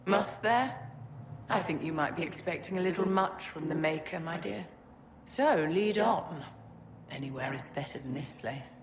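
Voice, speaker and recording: An actress playing a haughty, elegant sorceress in a video game, imperious and coldly sardonic. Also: female voice, low voice. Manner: imperious, condescending, measured. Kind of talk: dramatic video game cutscene acting. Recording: echoey, clearly noisy background.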